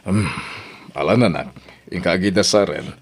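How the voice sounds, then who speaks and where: A man with an Ilocano accent playing an affectionate, gentle middle-aged father in an audio drama. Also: low-pitched, normal speed, vocal fry, slightly rough, exaggerated voice. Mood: fond, coaxing.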